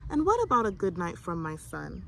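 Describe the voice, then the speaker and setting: A woman's voice: on the low side, wildly swooping pitch, brisk, creaky, fairly bright tone, a little hiss or hum, full character voice. A woman voicing a weary, firm, scolding but loving village mother in a storybook. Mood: soft. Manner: chiding, firm.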